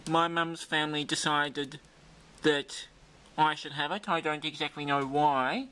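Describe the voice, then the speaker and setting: A man's voice: fairly high pitch, steady tone, unhurried, bright, forceful tone, smooth. An Australian man casually presenting a demonstration video, informal and rambling as he thinks aloud. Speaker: video host demonstrating a vintage radio.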